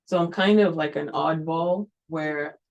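A woman's voice: low-pitched, measured pace, vocal fry, a little mellow, muffled recording. A woman from Kenya, a missionary planting a church, speaking earnestly at a mission event with many ums and you knows.

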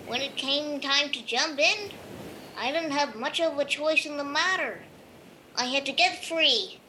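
Lady doing a shy innocent critter boy, high voice, slow talker, audio drama. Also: bright, forceful tone, a little hiss or hum, low-quality recording. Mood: timid, earnest. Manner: hesitant, innocent. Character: Todd, naive young male escaped lab turtle creature.

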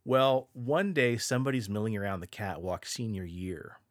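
Laid-back man telling a story, deep voice. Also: normal speed, no echo, clean studio sound. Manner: laughs often, swears casually, relaxed storyteller. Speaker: podcast co-host. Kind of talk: casual storytelling chat.

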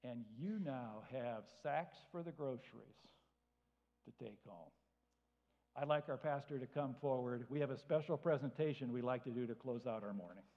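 A man preaching to a church, animated and acting out both sides of everyday conversations. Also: mid-range voice, normal speed. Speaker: guest speaker. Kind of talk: sermon with acted-out anecdotes. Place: church hall. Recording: dull sound in a reverberant hall.

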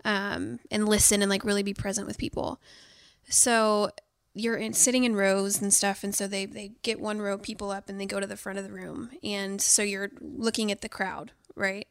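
Woman with a gravelly voice, candid and chatty. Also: mid-range voice, flat delivery, normal speed, no room sound, studio quality. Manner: candid storytelling, lots of fillers. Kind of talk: casual conversation.

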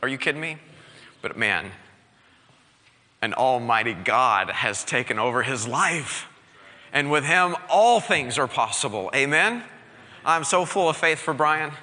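A male pastor preaching an energetic sermon, exhorting his congregation with call-and-response. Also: mid-range voice, unhurried, pauses often, long reverb tail.

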